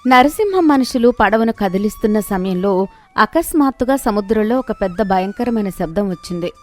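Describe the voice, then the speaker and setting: A woman's voice: medium pitch, animated, normal speed, faint music behind. A woman narrating a scripted moral story in a dramatic storytelling style.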